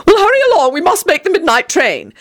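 Storybook werewolf, male female reader: haughty, fussy coward, high-pitched, highly animated, prone to offended wailing. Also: normal speed. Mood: flustered. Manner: haughty, wailing, easily offended, affected endearments.

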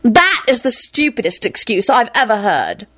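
Woman putting on a feisty, high-pitched excitable young woman. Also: fast, full character voice. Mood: exasperated. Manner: emotional, swinging between scolding and gushing.

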